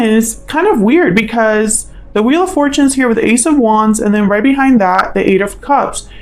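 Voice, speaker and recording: A woman giving an informal tarot reading as host, musing and thinking aloud in a tentative way. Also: mid-range voice. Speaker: tarot reader, channel host.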